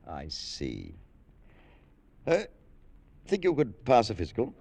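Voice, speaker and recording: An indulgent older army colonel in a vintage radio play. His low voice rises and falls freely, with avuncular hemming and hawing. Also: huge pitch swings, slow, creaky, darkish tone, breathy edge, dry recording, full character voice. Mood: bemused, indulgent. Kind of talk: 1940s-50s radio drama acting.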